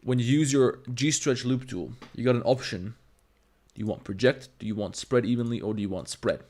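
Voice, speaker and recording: A man's voice: mid-range voice, moderate pace, darkish tone. A man with a Balkan accent, a native Serbo-Croatian speaker, streams a 3D modeling tutorial while chatting with his viewers in a blunt, profane, rambling way. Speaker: 3D artist and streamer. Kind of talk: livestream tutorial with chat.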